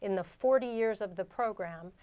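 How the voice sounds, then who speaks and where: A woman on a panel explaining policy in a clear, precise, informative way. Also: mid-range voice, moderate pace, a little mellow. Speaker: nonprofit director. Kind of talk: radio panel discussion.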